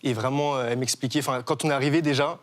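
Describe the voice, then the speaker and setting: A man's voice: medium pitch, steady tone, racing. A young man, guest on a TV talk show, explaining his charity with many fillers and restarts. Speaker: guest, association co-founder. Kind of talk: TV studio interview.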